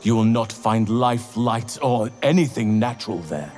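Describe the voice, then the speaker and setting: A solemn elf druid warrior from a fantasy game. His voice sits fairly low and moves with measured, earnest gravity. Faint music plays behind him. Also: animated, a little mellow. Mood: grave, warning. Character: grave, earnest male elf druid warrior offering counsel. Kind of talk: video game dialogue acting.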